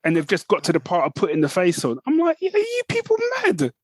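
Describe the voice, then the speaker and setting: A man's voice: high voice, wildly swooping pitch, a little fast, no echo, studio quality. A British man co-hosting a podcast in casual chat, talking loosely and laughing often. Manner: chatty, laughing, lots of "you know what I mean". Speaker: podcast co-host.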